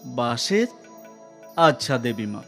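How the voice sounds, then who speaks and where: A man's voice: mid-range voice, very animated, slow, faint music behind. A man voicing a humble, caring village father and poor craftsman in a cartoon. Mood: gentle, humble. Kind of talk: cartoon voice acting.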